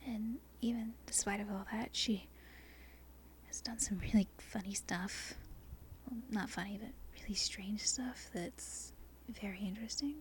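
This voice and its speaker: A woman from Australia with a softened Australian accent, whispering an unscripted ASMR ramble in a halting, breathy way, often correcting herself. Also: medium pitch, steady tone, slight background noise. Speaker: amateur ASMR video creator. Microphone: non-binaural microphone.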